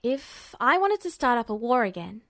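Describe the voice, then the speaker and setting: A woman's voice: fairly high pitch, very animated, moderate pace, fairly bright tone, no echo, clean studio sound. A woman voicing a brash, irritable young man in story dialogue, casual and blunt. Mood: testy.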